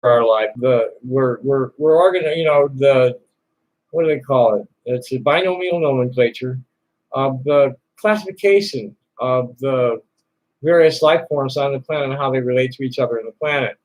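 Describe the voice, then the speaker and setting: A man hosting a live call-in stream, rambling in a halting way with many fillers and restarts. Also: mid-range voice, a little mellow, poor audio. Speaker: livestream host answering chat questions. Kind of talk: rambling casual chat. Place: Tucson, Arizona.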